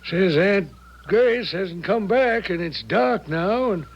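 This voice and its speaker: An American actor playing a plain-spoken small-town sheriff with a creaky voice, folksy and deliberate, in 1970s radio drama style. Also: male voice, high-pitched, animated, highs cut off, heavily acted. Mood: matter-of-fact.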